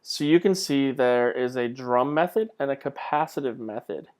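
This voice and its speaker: A man's voice: medium pitch, moderate pace, a little mellow. A man giving an informal slide lecture in a conversational way, with rhetorical questions and self-deprecating asides. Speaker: lecturer, clinical instructor.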